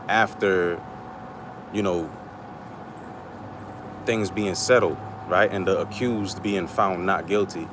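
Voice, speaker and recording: Low-voiced Trinidadian man ranting outdoors. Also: background noise. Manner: rambling, blunt, profane. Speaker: livestream host. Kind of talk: casual livestream rant.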